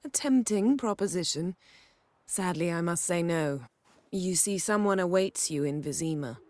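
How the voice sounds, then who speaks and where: A woman playing a poised, sharp-tongued sorceress in a video game, speaking in a measured, formal, sardonic way. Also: on the low side, dry recording, close to an everyday voice. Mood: haughty, wry. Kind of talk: video game cutscene acting.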